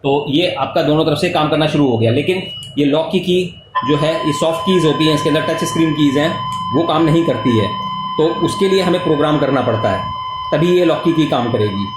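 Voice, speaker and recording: A man's voice: a little high, a little fast. A man with an Indian accent giving a step-by-step explanatory product tutorial, repeating key points as he goes. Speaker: product demonstrator. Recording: echoey room with clear background noise.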